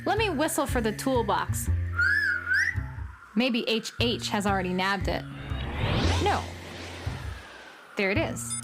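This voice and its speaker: A petulant young space troublemaker acted by a woman for a children's radio play. She is cantankerous and sulky, drawing her lines out slowly, with music playing behind her. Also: fairly high pitch. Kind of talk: children's audio drama acting.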